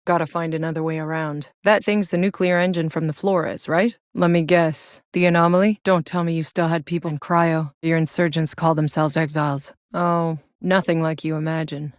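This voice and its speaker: Casting: actress to play battle-hardened sci-fi game heroine, female, lowish voice, blunt, wry, very dry close recording. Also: steady tone, normal speed, few pauses, no room sound, lightly acted. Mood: wry, weary. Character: tough, sardonic battle-hardened female soldier in sci-fi wasteland. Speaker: video game voice actor. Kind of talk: scripted video game character voice lines.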